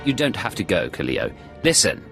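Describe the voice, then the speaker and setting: A self-possessed imperial spy in a sci-fi game. His low voice moves with lively intonation, dry and clipped, quietly defiant. Music plays clearly behind him. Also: normal speed, heavily acted. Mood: insistent. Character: composed, defiant male imperial secret agent. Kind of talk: dramatic video game acting.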